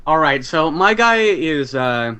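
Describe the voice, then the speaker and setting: A man's voice: fairly high pitch, expressive, takes it slowly, sharp tone, clean tone. Wisecracking man with music playing behind. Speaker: player in a tabletop game. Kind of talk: casual joking banter.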